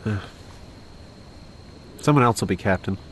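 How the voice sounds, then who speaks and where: A low-voiced young actor as a man on a journey, troubled and guarded. His delivery is halting and defensive, audio drama style, over clear ambient noise. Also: natural voice. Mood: hesitant.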